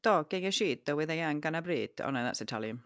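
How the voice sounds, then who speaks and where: An animated woman co-hosts a podcast, joking at her own expense. Her voice is deep for a woman but swoops up and down a lot. The recording is close and dry. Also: fast talker, dry recording. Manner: animated, self-mocking, swears casually. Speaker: podcast co-host. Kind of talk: casual chat. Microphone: podcast microphone.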